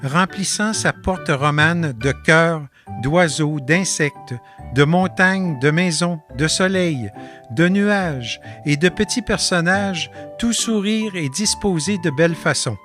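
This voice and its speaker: A man with a Quebec French accent narrating a documentary about his artist residency in a calm, measured voice-over. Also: a little high, soft background music.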